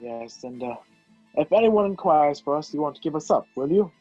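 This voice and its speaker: A man's voice: fairly high pitch, animated, moderate pace, darkish tone. A young man acting a wisecracking, sarcastic fugitive in an amateur radio play. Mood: nervous politeness. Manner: dry sarcasm. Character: wisecracking fugitive man, sardonic, handcuffed to a woman. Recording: dull lo-fi video call audio. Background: music.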